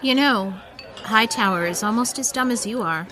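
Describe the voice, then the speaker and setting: Bright-voiced headstrong young punk woman. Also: fairly high pitch, moderate pace, some chatter behind, low-quality recording. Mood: challenging. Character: headstrong young female punk band musician, wary, sharp-tongued. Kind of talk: audio drama acting.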